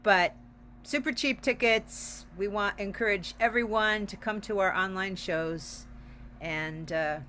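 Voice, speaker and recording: A woman's voice: medium pitch, unhurried, fairly bright tone, slight background noise. A woman co-hosting a casual online theater talk-back in a welcoming, emphatic way. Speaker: theater company representative, co-host. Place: online video call.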